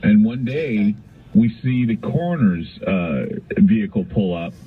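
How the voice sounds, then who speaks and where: A man's voice: fairly low pitch, expressive, a little slow, slightly rough. A middle-aged American man hosting a call-in radio show, telling stories in a rambling, conversational way that circles back on phrases. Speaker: radio talk show host. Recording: tinny, narrow-band radio sound.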